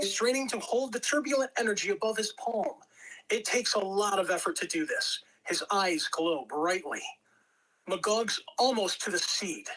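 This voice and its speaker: A man's voice: high voice, sharp tone, low-quality recording. A man reading stage directions as narrator, in scripted dramatic narration. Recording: amateur home recording.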